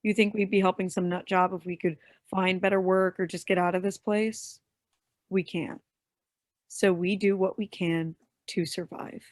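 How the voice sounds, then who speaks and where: A woman's voice: lowish voice, level tone, moderate pace, dry recording, studio quality, lightly acted. A woman playing a bitter, defiant young henchwoman in a staged play reading. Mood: bitter, defensive.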